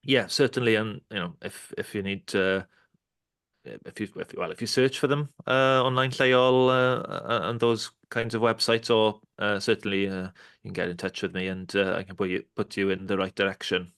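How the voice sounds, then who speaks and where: A man with a lowish, smooth and clear voice giving advice in an online discussion. He talks hesitantly, full of ums and restarts. The sound is dry and close, with no room echo. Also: steady tone, normal speed, fairly bright tone. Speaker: Welsh language support officer. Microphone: video call.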